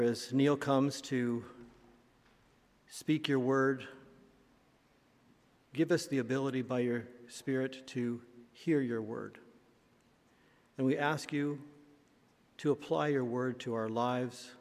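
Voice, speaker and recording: Slow, solemn pastor in an echoing hall. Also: male voice, medium pitch. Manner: solemn, measured. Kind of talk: scripture reading and prayer. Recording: reverberant church hall.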